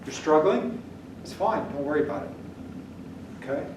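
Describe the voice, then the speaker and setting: A man's voice: on the high side, expressive, moderate pace. Older man lecturing in an echoey classroom. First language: German. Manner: casual and blunt, checks in with "okay?". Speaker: statistics lecturer. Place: large classroom with whiteboard. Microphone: camera at the back of the room. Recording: echoey classroom from far off, noisy.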